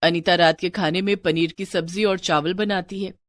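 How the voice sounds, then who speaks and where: A woman's voice: on the low side, fairly even intonation, moderate pace, no room sound. A woman narrating a scripted moral story as a storyteller.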